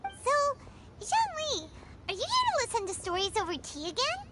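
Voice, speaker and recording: A woman voicing a tiny, childlike fairy companion in a video game, excitable, cheeky and chirpy. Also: moderate pace, over loud music, exaggerated voice. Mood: curious. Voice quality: squeaky, childlike. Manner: chirpy, refers to self in third person.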